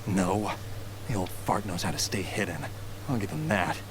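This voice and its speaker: Low-voiced bitter, entitled schemer. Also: male voice, big pitch swings, normal speed, noisy background. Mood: grudging recollection. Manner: sneering, resentful. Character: bitter, entitled grown son scheming for his inheritance. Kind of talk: English video game voice acting. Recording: music clearly behind.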